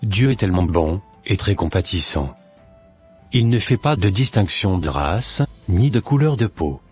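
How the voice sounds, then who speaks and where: A man voices a solemn messenger from heaven in a cartoon. He preaches sternly and declaims in a very low, raspy-edged voice, with faint music behind. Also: animated, measured pace, darkish tone, exaggerated voice.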